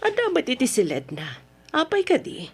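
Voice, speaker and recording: Animated, concerned Filipina mother. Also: high voice, normal speed, husky, exaggerated voice. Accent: Ilocano Filipino. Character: gentle, concerned wife and mother. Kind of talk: old-time Ilocano radio drama acting.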